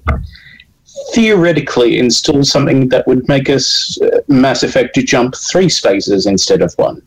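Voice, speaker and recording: A man's voice: mid-range voice, big pitch swings, normal speed, vocal fry, husky, poor audio. A male player at a tabletop game, dry and joking. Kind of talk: casual chat.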